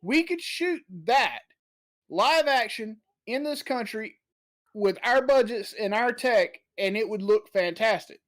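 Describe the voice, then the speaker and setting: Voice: mid-range voice, takes it slowly. Blunt, swearing podcast co-host. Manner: blunt, swears, animated rants. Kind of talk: casual podcast chat.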